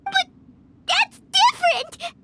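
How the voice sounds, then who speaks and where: A woman playing a tiny, childish, excitable fairy companion in a fantasy game, squeaky and whiny. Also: slow, full character voice. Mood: upset, protesting. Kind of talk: scripted fantasy game acting. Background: music.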